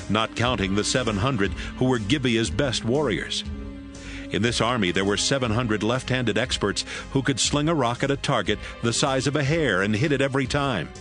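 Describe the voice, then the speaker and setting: A man narrating scripture as a measured, scripted storyteller in an audio Bible. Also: mid-range voice.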